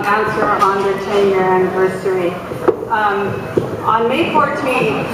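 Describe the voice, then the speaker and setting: A woman's voice: medium pitch, background noise. Woman speaking in a far-off echoey hall. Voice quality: loud voice. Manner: halting, many pauses and restarts. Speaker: guest speaker, community organizer. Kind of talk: emotional public speech. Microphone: far from the mic.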